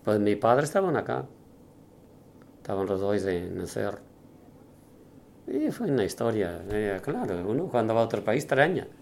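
A Portuguese-born man whose Spanish carries a Portuguese accent, recalling the past in a halting interview with many restarts. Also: fairly low pitch, big pitch swings, gravelly, slight background noise. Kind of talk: reminiscing interview.

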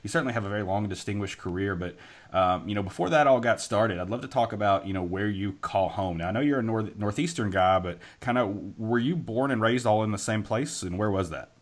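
A man with a lowish voice hosts a podcast interview. He talks quickly, affirms what his guest says, and leans on many "you know" fillers. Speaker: podcast host. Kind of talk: conversational interview.

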